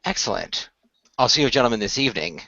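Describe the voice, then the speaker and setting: A man's voice: medium pitch, animated, creaky, bright, forceful tone, dry recording, highs cut off, full character voice. Man playing a formal, earnest keeper of secrets. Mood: inviting. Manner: formal, measured, portentous storytelling. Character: Noah, courteous, earnest male keeper of a magical secret.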